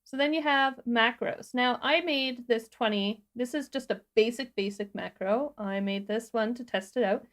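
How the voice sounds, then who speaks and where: A woman co-hosting a livestream, explaining software step by step in an informal, casual tutorial style. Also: fairly high pitch, normal speed, few pauses. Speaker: livestream co-host demonstrating a tutorial.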